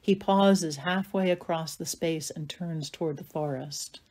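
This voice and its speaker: Low, mellow woman narrator. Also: measured pace. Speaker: narrator reading stage directions. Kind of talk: scripted narration.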